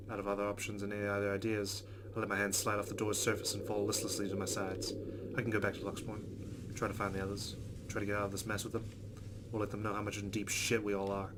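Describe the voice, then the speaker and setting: A man's voice: fairly low pitch, noisy background. A man hosting a let's play, reading visual novel narration aloud.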